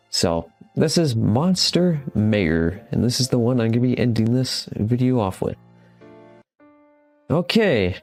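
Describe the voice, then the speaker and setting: Man, lowish voice, informal game commentary, reads text aloud, stumbles a bit, faint background music. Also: a little mellow. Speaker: gaming video host.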